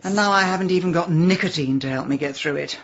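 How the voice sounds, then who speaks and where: A woman's voice: on the low side, fast, muffled recording, a little hiss or hum, close to an everyday voice. An actress playing a bossy, sardonic middle-aged woman with a tart, exasperated manner in a radio comedy drama. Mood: sardonic, glum.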